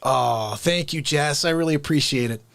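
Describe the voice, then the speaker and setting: A man with a slightly high voice chats with his livestream audience and greets people in the chat by name. He sounds upbeat, and his voice is dry and close with no room sound. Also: moderate pace, vocal fry, fairly bright tone, no room sound. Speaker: livestream host. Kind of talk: chatting with viewers.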